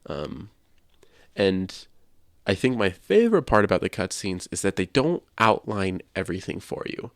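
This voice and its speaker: A man's voice: low voice, big pitch swings, normal speed, no echo, clean studio sound. A man hosting a podcast, sharing his opinions in casual discussion. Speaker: podcast host.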